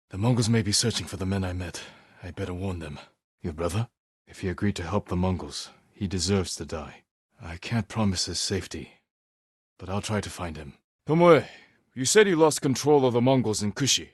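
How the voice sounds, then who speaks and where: An actor playing a grave, resolute samurai warrior in a video game, speaking in measured, solemn, terse lines with a husky voice. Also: male voice, deep voice, no echo, studio quality. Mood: low, wary, then urgent, stern. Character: stoic, honour-bound samurai warrior, adult man, grave and resolute. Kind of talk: dramatic scripted game dialogue.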